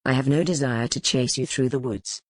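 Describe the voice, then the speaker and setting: A woman voicing a stern, formal medieval duke and warrior in a historical romance audiobook, with terse, measured courtly phrasing. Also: rumbling low, no room sound, voice pushed down. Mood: dryly amused.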